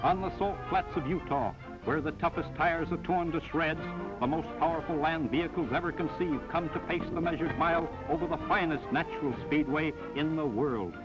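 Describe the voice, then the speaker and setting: A man's voice: fairly high pitch, normal speed, hardly any pauses. An American man narrating a 1940s newsreel in a punchy, dramatic, slangy showman style, reading from a script. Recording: dull vintage 1940s newsreel soundtrack, loud music. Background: loud music.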